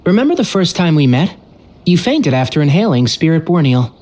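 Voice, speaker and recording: A voice actor playing a friendly, concerned scholar in a video game, speaking gently. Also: medium pitch, sing-song, a little fast. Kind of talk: game voice acting. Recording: music behind voice.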